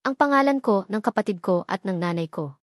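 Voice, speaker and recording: A woman's voice: medium pitch, lively intonation, a little fast, no room sound, studio quality. A woman reading a scripted, dramatic first-person story. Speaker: narrator.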